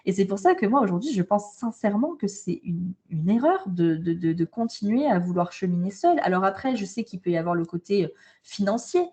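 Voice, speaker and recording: A woman's voice: mid-range voice, animated, a little fast, mellow. A French woman coaching women, talking frankly and emphatically in a casual interview, often repeating her starts. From France. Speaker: guest, holistic coach. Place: travelling abroad, indoors.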